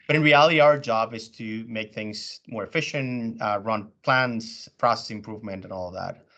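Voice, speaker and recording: A man from Venezuela, an engineer in business development, talking casually on a panel and often pausing with ums. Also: fairly low pitch, fairly bright tone. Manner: hesitant, many ums and restarts.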